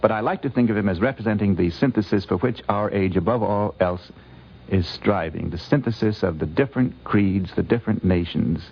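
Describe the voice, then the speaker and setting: A man giving considered, explanatory commentary as a guest scholar, lecturing deliberately with emphatic phrasing, on a vintage radio recording. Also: fairly low pitch, slightly rough, lo-fi sound.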